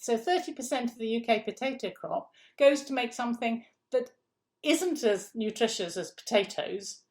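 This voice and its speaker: Gravelly-voiced woman, emphatic and questioning. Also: medium pitch. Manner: emphatic, repeats key phrases, rhetorical questions. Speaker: food policy guest. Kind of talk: conversational interview answer.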